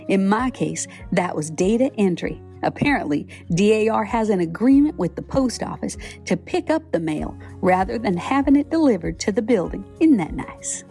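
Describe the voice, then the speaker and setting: A woman's voice: mid-range voice, creaky, warm tone. A woman voicing a chatty application paper. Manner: folksy storytelling, cheerful asides. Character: chatty cheerful female membership application paper. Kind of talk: scripted podcast narration.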